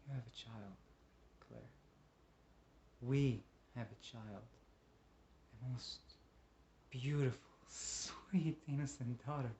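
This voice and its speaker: A man playing a volatile, possessive husband who lurches between hushed pleading, whispers and screaming rage, stammering when he turns apologetic. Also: mid-range voice, takes it slowly, long pauses, slight background noise. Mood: coaxing.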